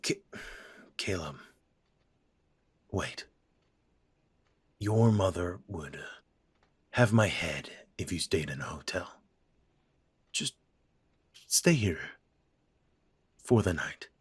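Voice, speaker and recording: A man playing a raspy, gruff father. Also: low-pitched, animated, no echo, exaggerated voice. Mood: subdued. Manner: blunt, scolding, softening into hesitant halting speech. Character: gruff stubborn middle-aged human father. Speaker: voice actor. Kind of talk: audio drama acting.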